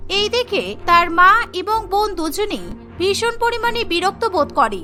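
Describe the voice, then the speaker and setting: A woman's voice: very high-pitched, lively intonation, measured pace, pushed and bright, soft background music. A woman narrating a scripted cartoon story as its storyteller.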